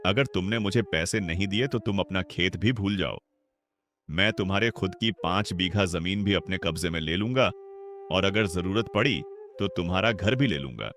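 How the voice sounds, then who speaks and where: A man's voice: low voice, moderate pace, fairly bright tone. An actor playing a stern, greedy village headman in a cartoon, bossy and threatening. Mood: demanding. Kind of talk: cartoon voice acting. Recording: music behind the voice.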